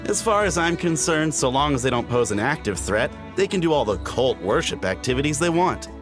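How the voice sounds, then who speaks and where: A man's voice: a little high, sing-song, brisk. A man playing an easygoing young warrior on guard in a video game, with a breezy, confident, casual manner. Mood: breezily confident. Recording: music behind the voice.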